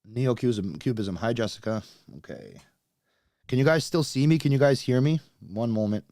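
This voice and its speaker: A man's voice: mid-range voice, animated, moderate pace, mellow, no echo, studio quality. A man in his late thirties, born in Russia with Russian as his first language, with a slight Russian accent, chatting casually with viewers on a livestream as he sorts out technical problems. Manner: chatty, talks straight to viewers while fixing tech. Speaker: YouTube livestream host.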